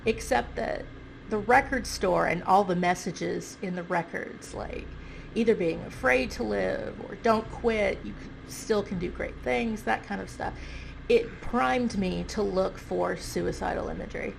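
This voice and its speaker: A woman's voice: medium pitch, measured pace, creaky, slight background noise, rough recording. A woman with a stuffy nose giving casual, self-correcting commentary on a video game, thinking aloud as she goes.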